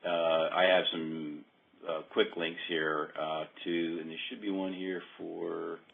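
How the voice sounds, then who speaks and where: Deep-voiced man on a tinny line. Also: level tone, vocal fry, breathy edge. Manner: halting, hesitant explaining. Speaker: software demonstrator. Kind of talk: informal software walkthrough. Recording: dull phone-like conference-call audio.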